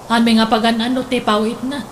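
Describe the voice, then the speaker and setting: A woman's voice: on the high side, flat delivery, fairly quick, a little hiss or hum, lightly acted. A woman acting a gossipy, resentful sister in an Ilocano radio drama, with an Ilocano accent. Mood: scornful. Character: gossipy, resentful sister, scornful of her sibling.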